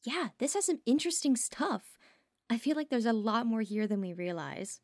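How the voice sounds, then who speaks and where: A woman's voice: a little high, very animated, normal speed, darkish tone, no room sound, studio quality. A woman playing a curious, bookish young researcher in an audio drama, speaking thoughtfully and conversationally. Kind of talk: audio roleplay drama acting.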